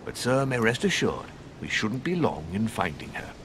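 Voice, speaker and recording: A man's voice: sing-song, moderate pace. A man playing a deferential, ceremonious manor servant in a video game, formal and eager to reassure. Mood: formal, careful. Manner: obsequious, formal, addresses listener in third person. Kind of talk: video game cutscene acting. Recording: constant rumbling background noise.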